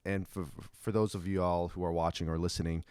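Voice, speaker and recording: A man's voice: deep voice, little pitch movement, fairly quick, a little mellow, clear, resonant tone, no echo, clean studio sound. A young man who grew up in Ukraine, co-hosting a podcast and explaining things in an informal, earnest way. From grew up in Ukraine, from an American missionary family. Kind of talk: casual, earnest explanatory talk.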